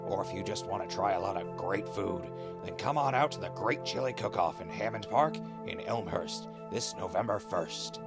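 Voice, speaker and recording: A man playing an easygoing ghoul radio host in a post-apocalyptic audio drama, laid-back and conversational with a gravelly voice. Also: low voice, expressive, moderate pace. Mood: upbeat, wry. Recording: music bed under the voice.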